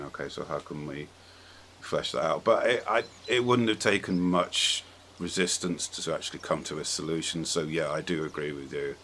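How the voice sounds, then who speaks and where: A man with a very deep voice hosts a casual, opinionated conversation. He speaks bluntly in halting phrases, feeling his way through points. Some background noise sits behind him. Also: sharp tone. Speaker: podcast host. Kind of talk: casual opinionated film discussion.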